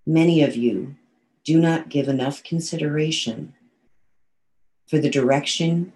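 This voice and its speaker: A woman's voice: low voice, a little mellow, rough recording. A woman channeling a solemn male spirit teacher. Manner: slow, measured, formal address. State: nasal from a cold.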